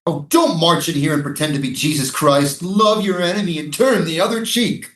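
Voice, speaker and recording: A man's voice: a little high, moderate pace, fairly bright tone, lightly acted. A man in a staged play reading, playing a defensive, sardonic American military prison guard. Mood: stern, suspicious. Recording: roomy, echoing live staged reading.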